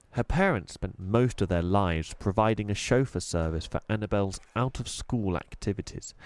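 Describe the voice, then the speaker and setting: A man narrating a children's storybook expressively, with deliberate, dramatic emphasis. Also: low-pitched, darkish tone, no echo. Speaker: storybook reader.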